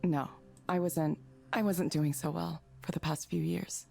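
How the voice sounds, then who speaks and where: A woman's voice: low-pitched, steady tone, measured pace, vocal fry, darkish tone, exaggerated voice. A woman playing a modest, guarded woman in a visual novel, with natural, understated delivery. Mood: subdued. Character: reserved, modest, guarded woman, former tech researcher. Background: game music.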